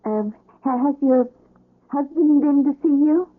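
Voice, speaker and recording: A woman's voice: high voice, measured pace. An actress playing an anxious, fussing mother of a grown daughter, stammering and fretful, in a 1970s radio drama. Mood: nervous. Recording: tinny old radio broadcast recording.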